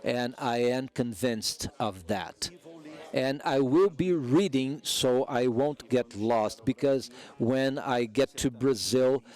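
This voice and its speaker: Male conference interpreter, very slow pace, measured deliberate phrasing, mid-range voice. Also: medium pitch, darkish tone. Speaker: simultaneous interpreter. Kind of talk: simultaneous interpretation of a speech.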